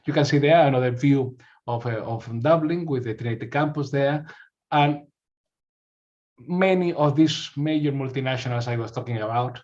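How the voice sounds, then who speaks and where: A man's voice: medium pitch, normal speed, darkish tone, highs cut off. A man from Spain with a Spanish accent giving an informational presentation as a university representative, often repeating words as he searches for phrasing.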